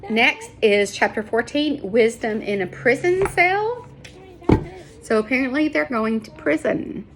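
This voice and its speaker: Expressive woman reading aloud, noisy recording. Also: on the high side, unhurried. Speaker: storybook reader. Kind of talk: scripted narration, children's book read aloud.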